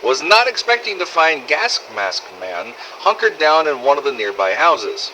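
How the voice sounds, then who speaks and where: Man acting as sardonic zombie-apocalypse survivor, male, game audio log, lively intonation, sharp tone, weary and foul-mouthed, emphatic storytelling. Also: a little high, moderate pace, slight background noise, poor audio. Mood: matter-of-fact. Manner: sardonic, expressive, emphatic swearing. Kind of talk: dramatised first-person audio diary for a game fan project.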